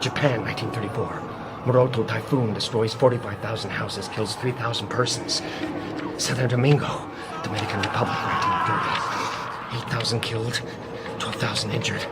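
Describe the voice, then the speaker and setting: An actor playing a terrified, obsessed man besieged by a living wind in a radio drama, halting and overwrought. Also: on the low side, fairly even intonation, close to an everyday voice. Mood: grim, obsessive. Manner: overwrought, halting, breaking into manic laughter and cries. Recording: noisy old radio drama broadcast.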